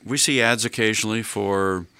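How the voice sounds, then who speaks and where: Mature American male interview host, low register, crisp bright tone, deliberate explanatory delivery. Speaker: host and legal adviser. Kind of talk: conversational interview on legal planning.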